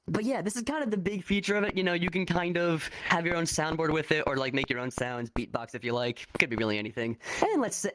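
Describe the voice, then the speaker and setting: Hoarse, raspy man demoing upbeat. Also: mid-range voice, fairly bright tone, a little hiss or hum. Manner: upbeat, chatty demo with fillers. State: hoarse, just recovered from an infection. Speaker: avatar creator presenting his model. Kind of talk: casual live-stream showcase.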